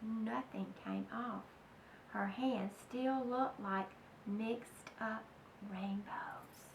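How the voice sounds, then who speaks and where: A woman's voice: a little high, fairly even intonation, extremely slow. A woman reading aloud to children in a dramatic, emphatic way, talking directly to her young listeners. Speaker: children's storybook reader. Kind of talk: animated read-aloud to children. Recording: echoey room, distant mic, faint noise.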